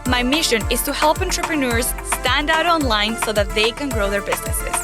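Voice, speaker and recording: A woman's voice: on the high side, a little fast, over loud music. A woman from Venezuela, hosting a solo podcast in an upbeat, confiding way while getting over a cold. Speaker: podcast host.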